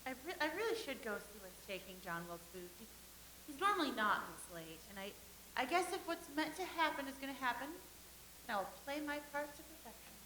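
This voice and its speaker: A woman's voice: high voice, sing-song, brisk, bright, forceful tone, echoey room, slight background noise, full character voice, poor audio. A woman reading the part of an anxious stage actress, hesitant and stammering. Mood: nervous. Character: anxious stage actress awaiting a late colleague.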